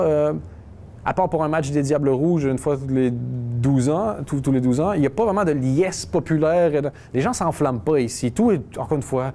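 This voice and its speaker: Wry man with a mellow voice. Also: a little high, a little hiss or hum. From Quebec. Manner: conversational, wry, restarts often. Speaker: interviewee. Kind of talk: casual interview.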